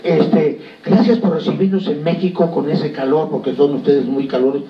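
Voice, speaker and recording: A man's voice: a little high, steady tone, moderate pace. A man hosting a talk show, joking and teasing his guests, speaking into a handheld mic in a wood-panelled conference room. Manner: jokey, teasing, repeats words. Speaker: host. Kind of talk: lively talk-show chat. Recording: roomy, distant, dull sound.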